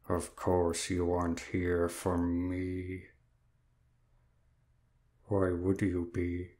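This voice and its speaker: A performer playing a lazy, bitter, exhausted demon of Sloth in an audio drama, speaking in a weary, self-pitying drawl. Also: low-pitched. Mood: sullen, hurt. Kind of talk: audio roleplay drama acting.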